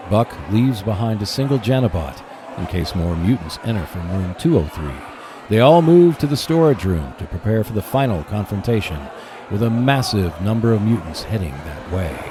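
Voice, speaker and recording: A man's voice: lowish voice, background noise. A man narrating a scripted radio play as host, in a dramatic storytelling manner.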